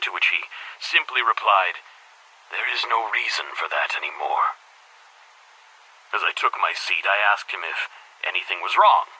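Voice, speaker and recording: Casting: weary middle-aged headmaster for a game's audio diary. Deep, heavily rasped voice with a hard bright edge, brooding and formal, on harsh cassette tape. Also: male voice, exaggerated voice, poor audio. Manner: measured, brooding, formal diction. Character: weary, guilt-ridden older male school headmaster. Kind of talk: confessional audio-diary monologue, voice acting.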